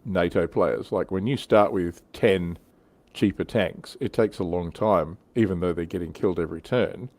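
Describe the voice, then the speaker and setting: Low-voiced man hosting a casual panel. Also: normal speed, a little mellow. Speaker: livestream panel host. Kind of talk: casual hobby discussion.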